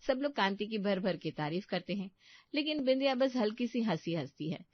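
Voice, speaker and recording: A woman's voice: mid-range voice, animated, moderate pace, no echo, lo-fi sound. A woman narrating a scripted family story as a storyteller.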